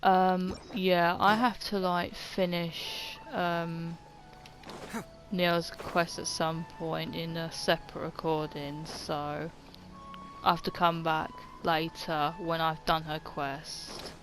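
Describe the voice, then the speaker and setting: Slow, low-pitched woman doing gameplay commentary. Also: flat delivery. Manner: hesitant, thinking aloud. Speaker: gaming video creator. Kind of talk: casual let's-play commentary. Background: game music.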